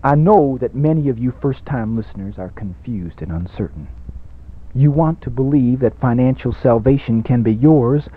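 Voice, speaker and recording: A man's voice: medium pitch, breathy edge. On a thin, narrow-band old college radio recording, a man acts out a grandiose, money-hungry radio preacher. He is pompous and emphatic, repeating phrases in a swelling sermon cadence for a comic radio play. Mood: reassuring.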